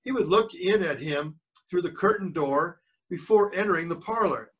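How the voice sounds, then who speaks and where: An older man reading a storybook aloud as a grandfather would, pausing for chatty asides and questions to young listeners. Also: high voice, fairly bright tone, recorded from a distance. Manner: pauses to quiz the listeners.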